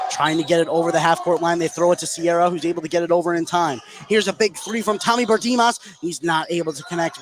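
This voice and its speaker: Higher-voiced man calling live basketball. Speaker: play-by-play announcer. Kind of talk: live basketball radio commentary. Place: indoor basketball gym. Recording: noisy gym ambience behind.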